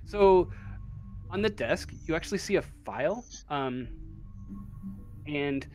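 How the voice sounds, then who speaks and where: A man improvising tabletop game narration as game master, informal and halting as he feels his way through descriptions. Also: fairly high pitch, expressive, a little mellow, faint music behind.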